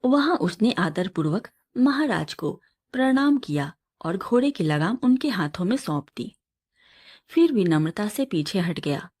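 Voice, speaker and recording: A woman reading a scripted moral folk tale as a storyteller, in a measured, expressive storybook delivery. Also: mid-range voice.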